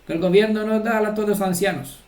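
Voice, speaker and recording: A man's voice: high voice, fairly even intonation, fast talker, a little mellow, reverberant. A man giving an informal, earnest religious teaching and often checking that his listeners follow. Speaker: Torah teacher.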